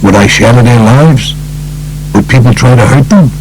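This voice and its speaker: A man voicing a tough, aggrieved, profane mob underboss in an audiobook, blunt and streetwise, with a gravelly voice. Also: medium pitch, moderate pace, slight background noise, natural voice, poor audio. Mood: brooding.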